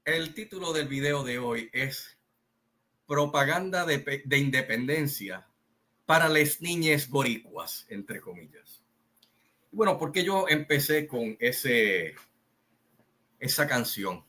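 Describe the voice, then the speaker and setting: A middle-aged man from Rico, giving a slow, opinionated monologue full of pauses and fillers. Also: mid-range voice, animated, pushed and bright. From Puerto Rico. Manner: slow, deliberate, repetitive, with many pauses and fillers. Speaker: commentary channel host.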